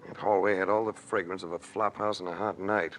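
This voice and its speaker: An actor playing a wry, hard-boiled adventurer in a 1940s radio detective drama. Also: male voice, deep voice, fairly even intonation, a little fast, a bit bright. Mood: wry narrating. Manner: dry, wisecracking. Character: wry, hard-boiled male adventurer telling his own story. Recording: noisy old radio broadcast recording.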